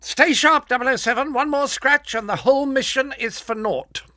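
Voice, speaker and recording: An actor playing a fussy gadget master in a video game, prim, nagging and dryly sarcastic. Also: male voice, very high-pitched, moderate pace, sharp tone, no room sound, studio quality, exaggerated voice. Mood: nagging caution. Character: fussy, exasperated male gadget master advising a spy. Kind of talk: scripted video game voice acting.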